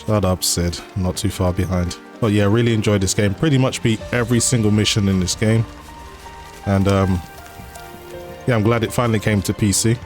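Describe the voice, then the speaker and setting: A man hosts his gaming channel in relaxed, conversational commentary, with a few fillers as he walks through his settings. His voice sits a little low, and faint game music plays underneath. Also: brisk. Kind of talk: casual gameplay commentary.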